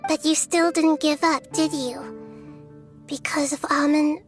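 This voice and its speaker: A woman voicing a gentle, wise young girl goddess in a video game, speaking calmly and earnestly. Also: sits high, unhurried, faint music behind. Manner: calm, soft, earnest. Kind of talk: video game cutscene dialogue.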